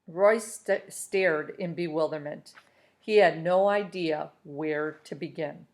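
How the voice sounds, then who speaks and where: A woman's voice: low voice, slow. Woman reading a story aloud. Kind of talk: expressive audiobook-style story reading for children.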